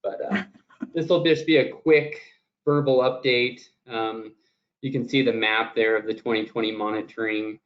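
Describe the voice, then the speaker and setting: A man calling into a meeting from a boat inspection station, giving a relaxed verbal update. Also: mid-range voice, normal speed. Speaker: state program staff. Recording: dull lo-fi call audio.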